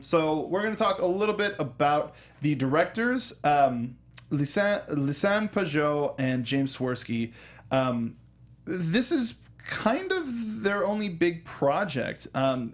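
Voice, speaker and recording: A man hosting a casual film and game discussion, talking with a fan's enthusiasm and often restarting sentences mid-thought. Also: on the high side. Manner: enthusiastic fan talk. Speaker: host of a film review show. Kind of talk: casual panel discussion of films and games.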